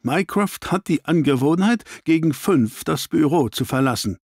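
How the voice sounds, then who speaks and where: A man voicing a stern gentleman detective in an audiobook, terse and commanding. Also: on the high side, expressive, moderate pace, dry recording, clean studio sound, voice pushed up. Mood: angry, self-reproachful.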